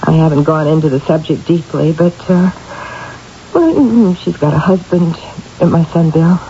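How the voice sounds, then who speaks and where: A woman's voice: low-pitched, moderate pace, warm tone. An actress playing a lonely, sleepless middle-aged woman in a radio drama, hesitant, rambling and apologetic. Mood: troubled, wistful. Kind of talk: 1970s radio drama acting. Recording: dull, noisy old radio recording.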